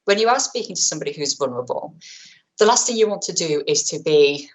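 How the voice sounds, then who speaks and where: Woman, low register, webinar presenter; brisk, chatty, self-correcting delivery on a webcam mic. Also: lively intonation, low-quality recording. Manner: quick, chatty, self-correcting, many fillers; says she has a powerful voice. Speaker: webinar presenter on vulnerable clients. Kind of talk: online training talk.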